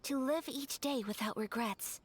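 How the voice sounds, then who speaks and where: A woman voicing a dutiful, reserved young noblewoman who speaks softly, politely and in a measured way. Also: sits high, husky. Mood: reflective. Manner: soft-spoken, polite and measured.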